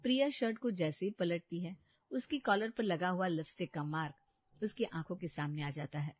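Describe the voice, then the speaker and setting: A woman giving expressive, scripted narration for a cartoon moral story. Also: lowish voice, moderate pace, no room sound. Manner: expressive, dramatic. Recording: dry dull lo-fi studio.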